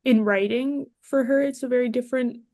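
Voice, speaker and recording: A woman's voice: on the high side, fairly even intonation, a little fast, warm tone, no room sound. Woman chatting casually, dry sound. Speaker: podcast panelist. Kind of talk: casual film discussion chat.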